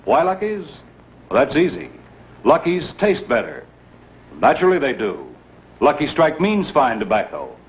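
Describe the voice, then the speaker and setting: A man's voice: on the high side, huge pitch swings, normal speed, long pauses. A man reading a 1950s commercial as an announcer, on a muffled old broadcast. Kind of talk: 1950s advertising read.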